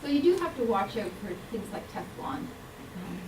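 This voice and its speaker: A woman giving an earnest, explanatory public health talk, with frequent pauses and ums. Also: mid-range voice, very animated, background noise. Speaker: health speaker. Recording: roomy hall mic from a distance.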